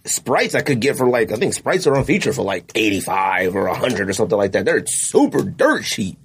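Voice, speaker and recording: A man hosting a casual podcast, animated, opinionated and full of slang. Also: fairly low pitch, a little fast, slight background noise. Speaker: podcast host. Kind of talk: casual chat.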